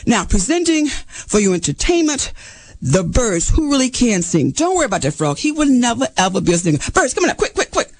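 Woman playing a male fox showman, brusque, bossy, repetitive, lively storybook delivery, dry sound. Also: on the high side, fairly quick, no echo. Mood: flustered. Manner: brusque, fussy, repeats himself. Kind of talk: animated children's storytelling.

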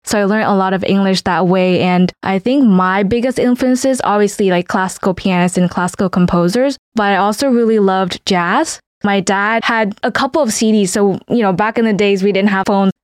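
A woman's voice: mid-range voice, normal speed, few pauses, dry recording. Earnest young woman co-hosting a podcast. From Vietnam. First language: Vietnamese. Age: 20s. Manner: earnest, reflective, flowing. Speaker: podcast co-host. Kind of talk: casual chat.

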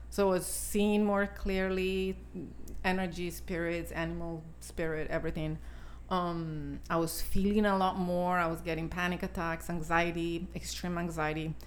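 A woman in her sixties from Italy, speaking English with an Italian accent as a podcast guest, talking in a halting way with many fillers and restarts. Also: fairly low pitch. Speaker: podcast guest, spiritual teacher. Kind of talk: casual conversation.

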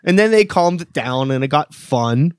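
A man's voice: a little high, animated, moderate pace, clear, resonant tone, no room sound, clean studio sound. A man in his late thirties from the Pittsburgh area, hosting a loose, casual interview with plenty of "like" and the odd swear. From Pittsburgh area, USA. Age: 30s. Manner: loose and chatty, heavy filler "like", swears casually. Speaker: podcast host.

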